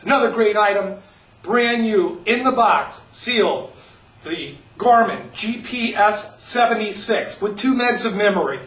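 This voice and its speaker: A man pitching items for an upcoming auction in a folksy sales voice, often calling the listeners folks. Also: lively intonation, unhurried, a bit bright, far from the mic, slight background noise. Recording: roomy, from off the mic.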